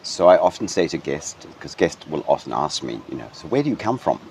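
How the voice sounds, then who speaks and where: Very deep-voiced middle-aged man. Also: sing-song, brisk, a little mellow, slight background noise. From Denmark. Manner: thoughtful, with frequent ums and restarts. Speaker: hotel general manager. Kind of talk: relaxed interview. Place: hotel grounds.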